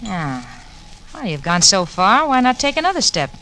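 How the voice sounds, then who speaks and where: Cool, bright-voiced femme fatale. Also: female voice, mid-range voice, very animated, normal speed. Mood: scheming, seductive. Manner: clipped, commanding, seductive. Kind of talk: 1940s radio crime drama acting. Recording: noisy vintage 1940s radio recording.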